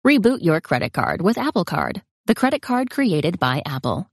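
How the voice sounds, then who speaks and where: A woman with a very low voice reads an ad in a polished, lively way. The recording is dry and close, with no room sound. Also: moderate pace, no room sound. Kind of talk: scripted ad read.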